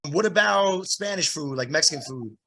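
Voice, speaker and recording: Host in his forties, bright slightly high voice, easygoing and casual. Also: lively intonation, moderate pace. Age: 40s. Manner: laid-back, friendly bro talk with casual swearing. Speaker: podcast host and drummer. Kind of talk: casual interview chat. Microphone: video call.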